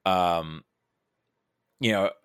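A man with a low-pitched voice talks casually about film on a podcast, recorded close and dry. He works through his thoughts aloud, often halting and rephrasing as he goes. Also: a bit bright, clear, resonant tone, no echo. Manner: halting, self-correcting, thinking aloud. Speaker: podcast guest or co-host discussing a film.

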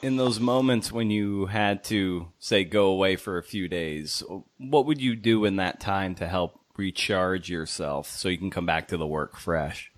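Deep-voiced man hosting a casual interview. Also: a little slow, hardly any pauses, no echo. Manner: long, rambling informal questions. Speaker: podcast host, interviewer.

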